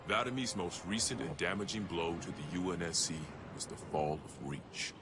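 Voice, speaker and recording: A male actor playing a stern military intelligence officer, narrating an enemy dossier in a measured, formal, grave manner. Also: deep voice, expressive. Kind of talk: scripted dramatic briefing narration. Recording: game cutscene audio with music underneath. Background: music.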